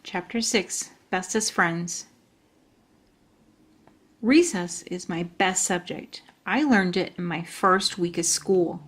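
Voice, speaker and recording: A woman's voice: on the low side, slow. A woman reading a children's storybook aloud as a storyteller.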